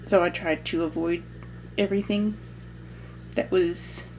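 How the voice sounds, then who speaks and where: A young adult woman vlogger in casual chat, speaking in a relaxed, reflective way with joking asides. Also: on the low side, a little slow, a little hiss or hum, low-quality recording.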